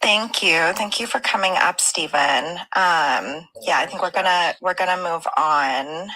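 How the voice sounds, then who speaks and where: A woman co-hosting a live stream, gently moving the talk along and welcoming callers. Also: fairly low pitch, unhurried, low-quality recording.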